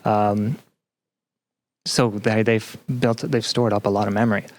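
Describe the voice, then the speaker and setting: Lowish-voiced man explaining, hesitant. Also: little pitch movement, unhurried. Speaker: podcast guest, student game developer. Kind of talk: conversational interview answers.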